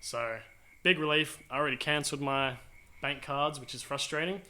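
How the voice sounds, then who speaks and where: A man tells a laid-back, animated story on a casual podcast. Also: fairly high pitch, moderate pace. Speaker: podcast co-host.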